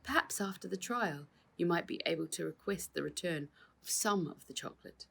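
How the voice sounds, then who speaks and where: A woman voicing a patient male police constable in a storybook, explaining things in formal, measured terms. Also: fairly low pitch, lively intonation, gravelly, a bit bright, breathy edge, heavily acted.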